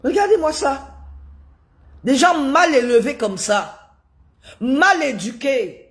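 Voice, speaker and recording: A woman's voice: high voice, expressive, slow pace. A woman with a head cold ranting live to her viewers, indignant and scolding, repeating rhetorical questions. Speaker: live streamer commenting on politics. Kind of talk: live-stream rant to viewers.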